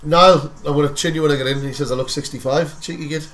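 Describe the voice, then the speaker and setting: A man, talking fast in a fairly high voice. He co-hosts a football fan live stream and keeps up a joking, self-mocking patter. His delivery is quick and chatty. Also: fairly even intonation, a bit bright. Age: 30s. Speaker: football channel co-host. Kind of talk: casual live-stream chat.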